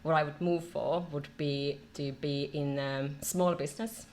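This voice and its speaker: A middle-aged woman from Finland, a company director being interviewed, speaking in a measured way with many "um"s between phrases. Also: sits low, fairly even intonation, darkish tone, a little hiss or hum. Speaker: marketing and e-commerce director, guest. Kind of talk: casual interview.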